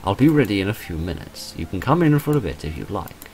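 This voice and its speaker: A man's voice: fairly low pitch, sing-song, fast talker, a little mellow. A man voicing a polite, helpful and mildly hesitant homebody woman painter in a visual novel.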